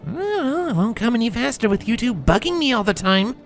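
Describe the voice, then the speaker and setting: A man's voice: very high-pitched, big pitch swings, a little fast, faint music behind, pitched above the performer's own voice, full character voice. A man voicing a bookish, defensive young unicorn colt who grumbles and snaps when bothered. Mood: defensive, exasperated. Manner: grumbling through clenched teeth, snappish.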